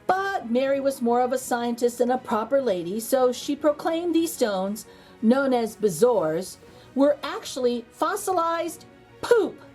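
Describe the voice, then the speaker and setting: A woman reading a children's picture book aloud as a storytime host, theatrically, with dramatic pauses and emphasis. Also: sits high, big pitch swings, a little mellow, soft background music, low-quality recording.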